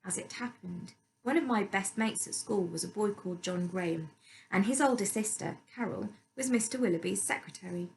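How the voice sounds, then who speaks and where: A woman's voice: medium pitch, moderate pace, creaky, darkish tone, slight background noise, poor audio. A woman reading a story aloud as scripted narration.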